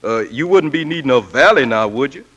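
An actor playing a humble, chatty night janitor in a 1940s radio play, with folksy, deferential speech. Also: male voice, mid-range voice, animated, fairly quick, a bit bright, dull audio. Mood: folksy, wistful. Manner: folksy, uneducated grammar, deferential. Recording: vintage 1940s radio broadcast.

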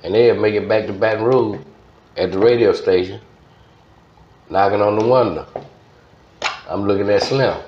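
A middle-aged man with a low voice and a Southern US accent tells stories in a casual interview. He repeats phrases for emphasis. The recording is roomy and somewhat muffled. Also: normal speed, pauses often, a little hiss or hum. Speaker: interview guest, former radio DJ. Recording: dull, roomy studio sound.

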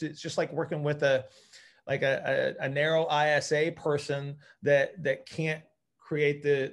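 A middle-aged man with a slightly raised voice coaches a group over a video call. He explains technical material informally, often doubling back on words as he works through the idea. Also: fairly high pitch. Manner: halting, repeats words as he thinks aloud. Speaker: coach and physical therapist hosting a group call. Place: indoor room at home, group video call.

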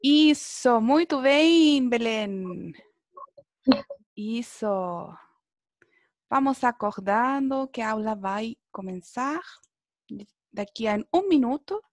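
A woman with a high-pitched voice leads an online language lesson. She encourages her pupils and keeps checking that they follow with little tag questions. The sound is dry, with very little room in it. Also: expressive, slow pace, long pauses, no room sound. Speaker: language teacher. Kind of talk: teaching an online beginner Portuguese class. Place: indoor room, online video class.

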